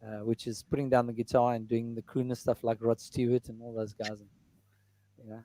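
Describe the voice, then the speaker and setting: A middle-aged man with a baritone voice, talking casually about his music in an interview, rambling with many fillers and restarts. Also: little pitch movement, brisk, dark tone, slight background noise. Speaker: musician and entertainer.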